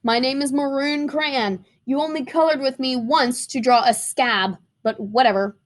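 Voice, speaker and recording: A woman's voice: sits high, a little slow. A woman voicing a wronged, broken storybook crayon that is sardonic but hopeful, telling its woes bluntly. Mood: aggrieved, pleading.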